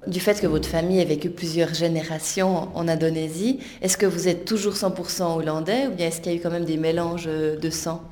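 Female interviewer-presenter. Lowish pitch, dark soft timbre, scripted links and questions. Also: fairly even intonation, fairly quick, few pauses, a little hiss or hum.

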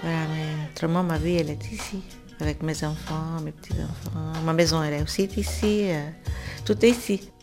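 A woman in her fifties from Italy, answering interview questions in a soft, warm manner. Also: sits low, steady tone, fairly quick, creaky, over music. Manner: soft-spoken, warm, laughs easily.

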